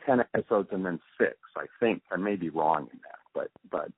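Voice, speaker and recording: Deep, husky-voiced man in a halting interview. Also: lively intonation, no echo. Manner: halting, reflective, often trailing off mid-thought. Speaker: interview guest, radio drama producer and sound mixer. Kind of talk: casual interview recollection. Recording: muffled, narrow phone-like interview recording.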